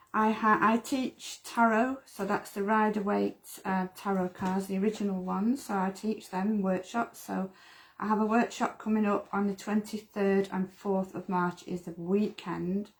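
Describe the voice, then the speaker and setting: Gravelly middle-aged woman. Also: mid-range voice, rough recording. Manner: friendly, hesitant, lots of ums. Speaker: tarot teacher and medium. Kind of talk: casual chat.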